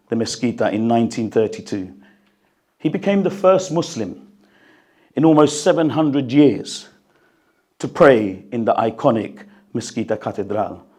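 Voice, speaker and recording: A man with a croaky, strained voice speaks to an audience at a book launch, then reads an excerpt, earnest and reflective, with the odd cough. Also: medium pitch, a little mellow. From United Kingdom. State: croaky voice, recovering from losing it. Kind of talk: talk and reading from his book. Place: hall at a gathering, in front of an audience. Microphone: handheld microphone.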